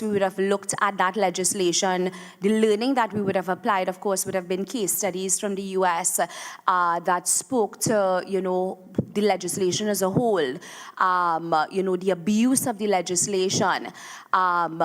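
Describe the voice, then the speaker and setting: A woman with a Trinidadian accent, speaking as a government minister at a round-table conference, pausing often with fillers and restarts. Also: medium pitch. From Trinidad and Tobago. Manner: hesitant, many fillers and restarts. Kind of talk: formal remarks at a round table.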